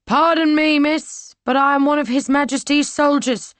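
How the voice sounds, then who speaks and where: Woman reading as a young male soldier, timid, stammering, high and strained for a man, dry close sound, storybook reading. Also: steady tone, moderate pace, a bit bright, no room sound. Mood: nervous. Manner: hesitant, stammering.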